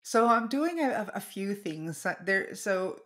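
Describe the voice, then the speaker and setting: A woman's voice: medium pitch, big pitch swings, unhurried, fairly bright tone. A woman whose first language is Danish, a therapist and author talking casually as an interview guest, often laughing and repeating words.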